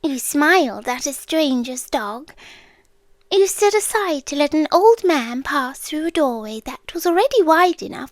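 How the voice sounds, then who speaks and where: A British woman voicing an ancient, lovestruck, obsessive end-of-the-world entity in a hushed, intimate ASMR roleplay, with deliberate pauses, close to the microphone. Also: high voice, dry recording, heavily acted. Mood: tender, fascinated. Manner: slow, hushed, deliberate delivery with long pauses. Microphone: close, dry studio microphone.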